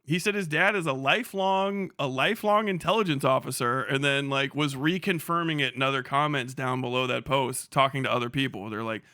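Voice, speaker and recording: A man's voice: medium pitch, a little fast, hardly any pauses, bright, forceful tone, smooth, no echo, studio quality. A young man streaming live, commenting casually and laughing a lot while he mocks an online figure with plenty of swearing. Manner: profane, laughing often, mocking.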